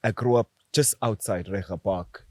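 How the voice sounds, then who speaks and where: A man's voice: lowish voice, normal speed, no echo, clean studio sound. A South African man in his thirties, a podcast guest talking casually, often repeating words as he recalls. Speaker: podcast guest, former footballer. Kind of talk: casual interview chat.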